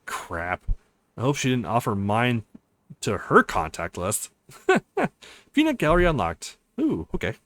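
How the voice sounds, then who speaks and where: A man's voice: medium pitch, wildly swooping pitch, a little slow, fairly bright tone. A man casually playing through a visual novel, reading dialogue aloud and reacting readily with exclamations. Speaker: let's play streamer.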